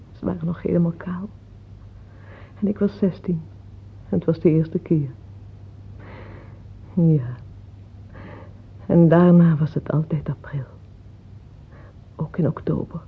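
A woman's voice: deep voice, vocal fry, slightly rough, full character voice. An actress in a Dutch radio play, playing an earthy, plain, motherly middle-aged mistress who speaks frankly, tenderly and teasingly, without hurry. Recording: muffled tinny old radio play recording.

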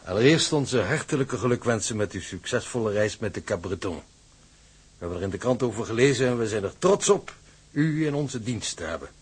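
Husky, unctuous office manager. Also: male voice, lowish voice, animated, fairly quick. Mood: unctuous, courteous. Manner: formal, unctuous courtesy with swooping emphasis. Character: polite, smooth-talking, unyielding male shipping office manager. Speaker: actor. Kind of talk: Dutch radio drama acting.

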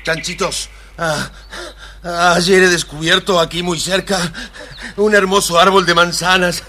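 An actor playing a blustering, scheming wolf in a theatrical children's story record style. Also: male voice, high-pitched, fairly even intonation, measured pace, pushed and bright, a little hiss or hum. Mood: sly, coaxing. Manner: theatrical, blustering, muttering to himself. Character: blustering, scheming big bad wolf, adult male.

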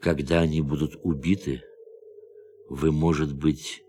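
Defiant astronaut, very deep voice, slow measured delivery, radio play. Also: male voice, level tone, slight background noise. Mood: calm. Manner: measured, quietly intense. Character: calm defiant astronaut who turned on his crew.

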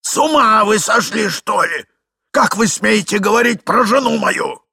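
An indignant old gentleman from a storybook, husky and forceful. He sputters and drags out his exclamations, and his pitch swings wildly as he blusters. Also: sing-song, takes it slowly, no echo, clean studio sound, full character voice. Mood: outraged. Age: older. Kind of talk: theatrical literary reading.

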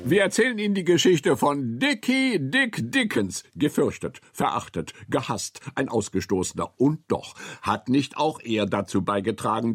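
A mock-solemn storyteller for a radio gangster tale. His delivery is declamatory and grandly ironic, with sweeping pitch, over faint music. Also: breathy edge. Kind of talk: dramatic radio play narration.

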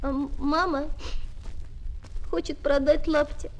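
Маленького больного мальчика, очень высокий голос. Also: female voice, high-pitched, steady tone, slow pace. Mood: earnest, wistful. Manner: earnest, childlike. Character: sick, bedridden little boy, earnest and trusting. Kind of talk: Soviet children's radio play. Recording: noisy 1970s flexi-disc recording.

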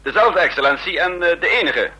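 Earnest young man, very raspy. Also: fairly high pitch, expressive, brisk, bright, forceful tone, heavily acted. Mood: respectful, pleased. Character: earnest, respectful young man, recovered patient and son. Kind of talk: Dutch radio drama acting.

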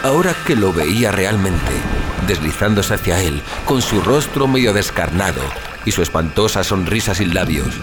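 Low-voiced man narrating horror, very expressive. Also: normal speed, noisy background. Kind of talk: dramatic scripted horror story narration. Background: loud music.

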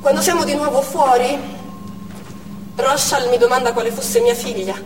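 Female doctor and mother, anxious yet determined, higher voice, theatrical monologue, noisy reverberant hall. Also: sing-song, normal speed, far from the mic. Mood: tense, tender. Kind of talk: dramatic stage monologue in present tense. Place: on stage in a theatre.

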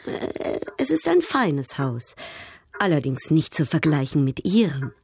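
An actress playing a proud, worldly, coquettish baroness in a radio drama, speaking in a cultivated and slightly affected way. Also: female voice, sits low, very animated, a little slow, darkish tone, breathy edge, full character voice. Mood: gracious, evasive.

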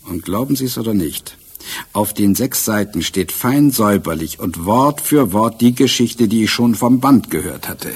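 An actor playing a shrewd, self-assured police inspector in a crime radio drama, explaining in a measured, dryly ironic way. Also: male voice, on the low side, expressive, breathy edge.

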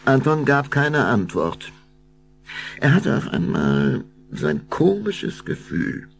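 An actor narrating a spooky children's tale with scripted, suspenseful delivery. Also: male voice, on the low side, vocal fry, noisy background, heavily acted.

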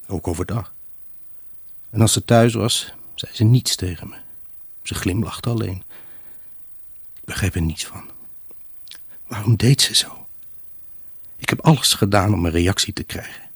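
An actor playing a lonely, grieving husband in an intimate radio drama monologue, brooding, halting and emotionally raw. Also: male voice, fairly low pitch, slightly rough, dry recording. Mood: brooding, wistful. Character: grieving, haunted husband, lonely, obsessive and pleading. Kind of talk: Dutch radio drama monologue, intimate first-person narration.

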